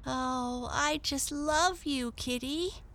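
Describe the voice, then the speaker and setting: A woman's voice: on the high side, very animated, slow pace, fairly bright tone, voice pushed up, full character voice. A woman voicing a small, eager girl who coos fondly at a cat. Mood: affectionate. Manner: cooing and affectionate. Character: sweet, eager little girl.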